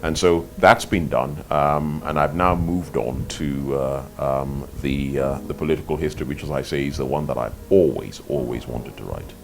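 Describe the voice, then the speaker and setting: An older man from Nigeria, an author answering interview questions in a measured, articulate way, often repeating words as he thinks. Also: very deep voice, steady tone, gravelly, slight background noise. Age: 50s or older. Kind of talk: reflective interview talk.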